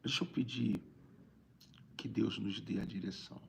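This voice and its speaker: Gravelly Brazilian man preaching informally. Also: on the low side, fairly even intonation, moderate pace, darkish tone, a little hiss or hum. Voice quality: raspy, gravelly. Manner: conversational, repeats phrases for emphasis. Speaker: bishop, preacher hosting a live stream. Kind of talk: informal livestream preaching, reading viewer greetings.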